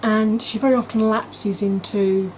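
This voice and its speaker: A woman's voice: mid-range voice, fairly even intonation, measured pace, a little mellow, slight background noise, low-quality recording. A woman talking in a chatty, gushing way as a beauty vlogger, with frequent ums. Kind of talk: casual vlog chat.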